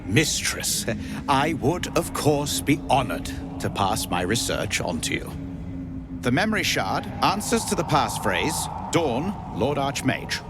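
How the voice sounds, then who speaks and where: A man playing a pompous, scheming drow wizard in a video game, theatrical and haughty but given to obsequiousness. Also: mid-range voice. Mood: obsequious. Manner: theatrical, haughty, stammering when deferential.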